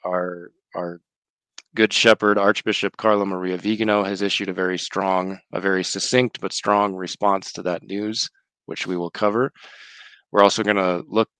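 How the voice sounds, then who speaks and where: A man with a slight cold hosting a religious news roundup, speaking in a measured, earnest way. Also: sits low, flat delivery, fairly bright tone, clean tone, no room sound.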